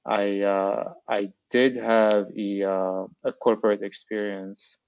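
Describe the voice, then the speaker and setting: A middle-aged man from Algeria with a fairly low voice, answering as an interview guest. His speech is informal and conversational. The recording is dry and a little dull and lo-fi. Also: level tone, slow pace, gravelly, darkish tone, smooth, no room sound. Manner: informal, frequent filler "like". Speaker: podcast guest, startup founder.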